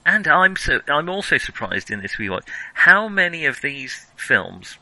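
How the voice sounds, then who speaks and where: A man's voice: fairly high pitch, very animated, unhurried, bright, forceful tone, no room sound, rough recording. A man hosting a casual film discussion, thinking aloud and often rephrasing mid-thought. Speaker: podcast host.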